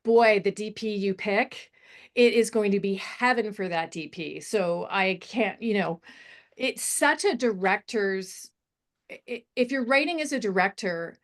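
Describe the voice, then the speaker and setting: A woman's voice: mid-range voice, a little slow. A woman giving unscripted feedback on a screenplay as a panelist, encouraging and feeling her way through her phrasing. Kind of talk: unscripted screenwriting feedback in a table read discussion.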